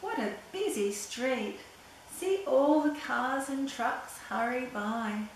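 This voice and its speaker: An older woman reading a children's picture book aloud in a deliberate, playful storytelling way. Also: high voice, animated. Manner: simple, deliberate reading with playful sound words. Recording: very echoey room, distant mic, background noise.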